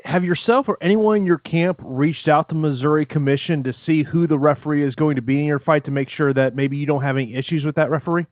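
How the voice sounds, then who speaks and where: A man's voice: fairly high pitch, fast talker, hardly any pauses, no room sound. Male reporter on a dry phone line. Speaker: MMA journalist. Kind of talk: asking prepared questions on a press call. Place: telephone conference call.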